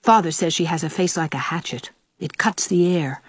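A woman voicing a lively, pleasant, frank young country woman in an audiobook. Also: on the low side, moderate pace, slightly rough, no room sound. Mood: chatty. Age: young adult. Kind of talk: audiobook character voice.

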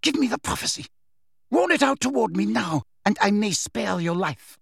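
A man voicing a fanatical dark witch for a storybook, cruel and taunting, with a shrill, raspy, theatrical delivery. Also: very animated, moderate pace, no room sound, studio quality, full character voice. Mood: menacing, taunting. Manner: theatrical, menacing.

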